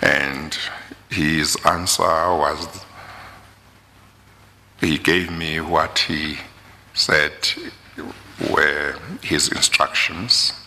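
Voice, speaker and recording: A middle-aged man chairing a formal hearing, questioning slowly and deliberately at a desk microphone. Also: very deep voice, little pitch movement, bright, forceful tone, big-room sound, a little hiss or hum. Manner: slow, deliberate with pauses. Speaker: commission chairman. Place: hearing room.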